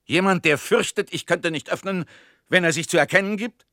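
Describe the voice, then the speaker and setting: A man's voice: sits high, moderate pace, pushed and bright, a little hiss or hum. An actor in a radio play playing a fearful, suspicious man alone at home, speaking in insistent, clipped sentences. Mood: agitated demanding. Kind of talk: German radio play acting.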